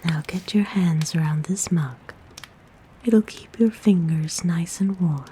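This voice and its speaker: Woman doing a gentle motherly witch for asmr, soft slow whispering, coaxing, bit of faint noise behind. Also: on the low side. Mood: soothing, tender. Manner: gentle, soothing, coaxing, unhurried. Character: kind, motherly forest witch, gently fussing and teasing. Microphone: close microphone.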